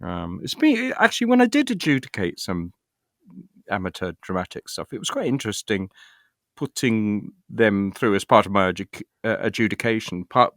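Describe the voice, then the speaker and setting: Middle-aged British male interviewee, lowish voice, thoughtful and halting delivery, recorded dry. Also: a bit bright, no echo. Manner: hesitant, thoughtful, with repeated false starts. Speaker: interview guest, actor and writer. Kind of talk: reflective conversational interview.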